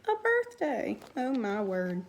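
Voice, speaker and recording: Woman, schoolteacher, instructional, asks guiding questions, noticeable vocal fry, light background noise. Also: on the high side, wildly swooping pitch, takes it slowly. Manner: instructional, frequently posing questions and answering them. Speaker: elementary school teacher. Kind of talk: read-aloud lesson with guiding questions to young pupils.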